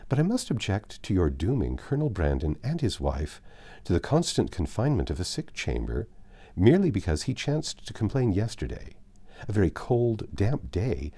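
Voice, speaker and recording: A man voicing a composed, sensible young woman from a period novel, reasoning in measured, formal speech. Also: on the low side, wildly swooping pitch, mellow, slightly rough, pitched above the performer's own voice, heavily acted. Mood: calm objection.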